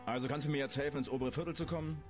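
Man playing a brisk, blunt game adventurer. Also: on the high side, fairly even intonation, lightly acted. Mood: inquiring. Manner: direct, questioning. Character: blunt, inquisitive male adventurer newly in town. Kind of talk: fantasy video game dialogue acting. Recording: music behind the voice.

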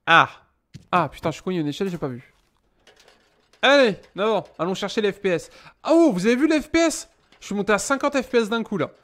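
A man's voice: sits high, expressive, fast, a bit bright. A man in his thirties from France, joking with chat while playing a game at a desk mic, teasing and mock-indignant. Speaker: gaming streamer. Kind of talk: casual Let's Play commentary. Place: small room at home.

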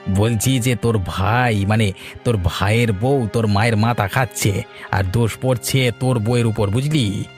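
A man's voice: on the low side, moderate pace, faint music behind, natural voice. Man acting a sly, scheming village uncle. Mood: sly, insinuating. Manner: sly, hesitant, coaxing with tag questions. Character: scheming, greedy village uncle who sows quarrels. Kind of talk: cartoon voice acting.

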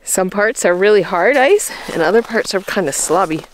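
A woman's voice: medium pitch, animated, moderate pace, rough recording. Chatty woman vlogging on a frozen lake. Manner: chatty, laughs easily. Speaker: outdoor vlogger. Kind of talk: casual vlog narration. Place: frozen lake outdoors, then inside an ice fishing tent.

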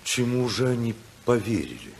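An actor playing an earnest, idealistic, wronged politician with dramatic delivery in a hissy 1950s radio drama. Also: male voice, mid-range voice, very animated, moderate pace, gravelly. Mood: dismayed, despairing. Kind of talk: 1950s Russian radio play acting.

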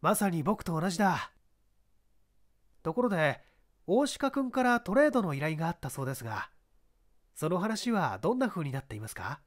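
Guy reading as a calm polite detective man grilling a suspect, audiobook mystery, keeps pressing. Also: on the high side, voice pushed down. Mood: polite, probing. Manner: polite, measured, persistent. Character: composed, probing male detective questioning a suspect.

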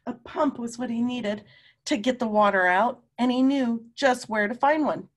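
A woman in her fifties reading a story aloud to young children, with animated, drawn-out emphasis and questions to her listeners. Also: a little high. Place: room at home.

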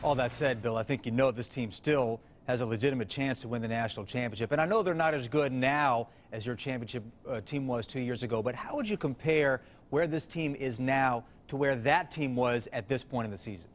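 A man in his thirties hosting a TV sports show, putting composed, measured questions to a coach in a studio. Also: mid-range voice. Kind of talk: studio interview.

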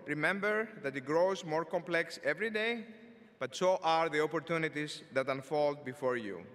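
Older man, bright voice, fairly high pitch, commencement speech at a podium, long arena reverb. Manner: measured, deliberate delivery with occasional filler words. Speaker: engineering school dean. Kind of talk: formal commencement address. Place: large arena stage at a podium. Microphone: podium microphone.

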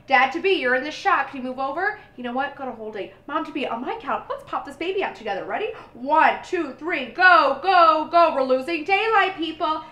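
A young woman playing a pretentious female photographer, brisk and demanding as she gives directions in a comedy sketch. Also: high-pitched, bright, forceful tone, roomy sound, full character voice. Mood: urgent. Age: young adult. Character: pretentious, bossy female delivery-room photographer.

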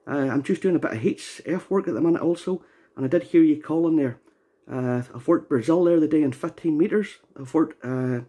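A man's voice: a little high, warm tone, breathy edge. A man talking casually and unhurriedly as an amateur radio operator, giving call signs and short reports. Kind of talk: casual ham radio contact. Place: indoor radio station, recorded near the equipment.

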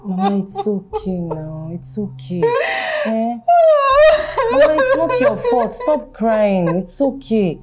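A gentle, soothing young woman. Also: medium pitch, wildly swooping pitch, slow pace. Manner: soothing, reassuring.

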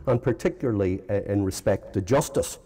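A man's voice: fairly low pitch, fairly quick, mellow, husky. Northern Irish man lecturing in a hall. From Northern Ireland. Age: middle-aged. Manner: hesitant, many ums and uhs, self-correcting. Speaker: philosophy professor giving a lecture. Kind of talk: informal conference lecture. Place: large conference hall. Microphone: lectern microphone. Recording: long hall reverb.

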